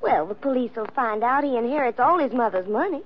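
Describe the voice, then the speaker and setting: An actress playing a sweet, fretful young Southern belle with an American Southern accent in a 1940s radio drama. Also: female voice, on the high side, animated, fairly quick. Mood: sweetly fretful. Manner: gushing, coy, effusive. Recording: dull, noisy old radio recording.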